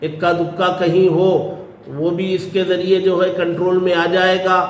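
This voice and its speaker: Male cleric, notably high pitch, formal and earnest with halting phrases, faint noise behind. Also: level tone. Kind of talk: public statement to media. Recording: roomy echo, faint background noise.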